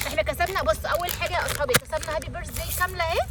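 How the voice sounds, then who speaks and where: A woman's voice: extremely high pitch, very fast, very noisy. A woman with an Egyptian Arabic accent hosting a family unboxing vlog, chatting casually with children and coaxing them with questions.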